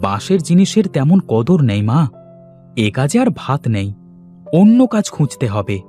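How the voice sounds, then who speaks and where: A man plays a poor village father in a cartoon fairy tale, weary and plaintive. His fairly high voice swings widely in pitch as he laments and pleads, with music playing underneath. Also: big pitch swings, moderate pace, dark tone. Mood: discouraged.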